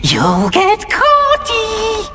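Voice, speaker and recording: An actor voicing a mischievous poltergeist in a video game, gleefully taunting in a drawn-out sing-song. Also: extremely high pitch, huge pitch swings, over loud music, heavily acted. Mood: gleeful mocking.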